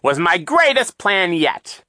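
A shrill, gloating villain count, swinging wildly up and down in pitch. The voice is bright and sharp, recorded close and dry with no room around it. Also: male voice, big pitch swings, slow, no echo, heavily acted. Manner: theatrical, whiny false joy then gloating growl. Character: scheming villainous male count, boastful.

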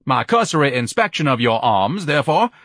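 Casting: bombastic, paranoid large man; grandiose audiobook character, husky, swooping delivery, raised pitch. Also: sing-song, a little fast, fairly bright tone, no room sound, clean studio sound. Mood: grand. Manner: pompous, ornate, declamatory. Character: pompous, bellowing, paranoid large man, grandiose and easily panicked.

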